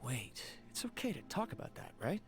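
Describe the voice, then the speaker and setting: A man's voice: a little high, big pitch swings, fairly quick. An actor playing a friendly, polite and eager young male attendant in an anime video game. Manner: polite, chatty, earnest. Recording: music behind the voice.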